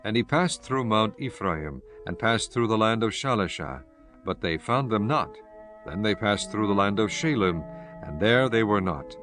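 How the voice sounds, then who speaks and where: A man with a fairly deep voice narrates scripture in a measured, formal style. Clear background music runs beneath his scripted reading. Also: animated, fairly bright tone.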